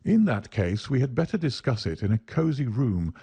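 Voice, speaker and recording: A man voicing a calm, shrewd detective who speaks in a bland, measured, assured way, in a storybook reading. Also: mid-range voice, slightly rough, no echo.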